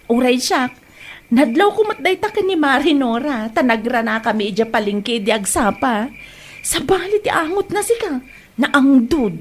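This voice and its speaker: A woman's voice: high voice, expressive, normal speed, slight background noise. A woman with an Ilocano accent playing a nosy, exclaiming village wife and mother in a comedy drama. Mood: gossipy, disgusted.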